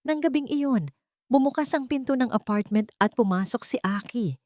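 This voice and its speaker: A woman narrating a scripted dramatic story in a theatrical, expressive manner. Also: sits high, moderate pace, dry recording.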